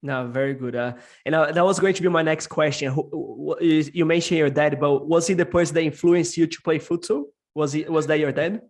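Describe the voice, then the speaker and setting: A male podcast host with a higher voice interviews a guest. He asks friendly questions with enthusiasm. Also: fairly quick, hardly any pauses.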